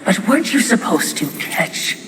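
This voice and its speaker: A woman's voice: mid-range voice, sing-song, normal speed, noisy background, natural voice. A woman playing an obsequious, sly female spirit servant in a video game, fawning and hesitant. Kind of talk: video game cutscene acting. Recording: echoey, music behind. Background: game music.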